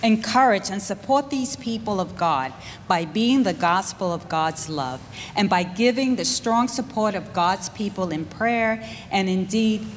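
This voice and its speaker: A woman's voice: medium pitch, expressive, measured pace, a little hiss or hum. Woman reading liturgy in church hall.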